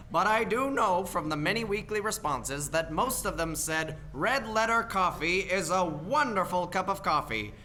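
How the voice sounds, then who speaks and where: A man's voice: high-pitched, sharp tone, a little hiss or hum. A man playing a peppy, polished 1940s radio announcer selling for the sponsor. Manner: polished, salesy.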